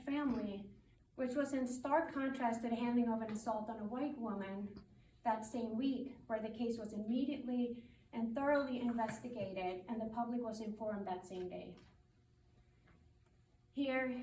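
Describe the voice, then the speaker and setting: A woman with a fairly high voice reads out a prepared public statement. She is formal, firm and deliberate. The sound is echoey and a little far from the mic, as in a meeting room. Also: a little mellow. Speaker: community spokesperson. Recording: roomy meeting hall, distant mic, faint noise.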